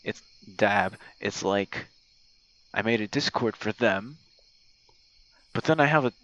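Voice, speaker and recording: A man voicing an old gamer buddy, lonely and self-effacing, in a halting, sweary, casual voice message. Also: fairly low pitch, a bit bright, no room sound, low-quality recording. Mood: rambling, earnest. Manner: rambling, halting, frequent swearing. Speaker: audio roleplay performer. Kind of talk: intimate ASMR roleplay voice message, rambling casual talk. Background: night crickets and insects.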